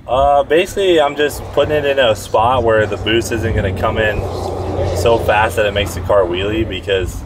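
A man's voice: on the low side, normal speed, over music. An American man casually explaining and coaching as a drag racer. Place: outdoors at a drag strip beside a car. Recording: off-mic with vehicle noise behind.

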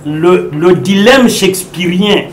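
A man's voice: high-pitched, slow pace, a little hiss or hum. A middle-aged man with a Congolese French accent, a lawyer giving an emphatic political interview in an office, repeating his points and mixing French with Lingala. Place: indoor office with bookshelves.

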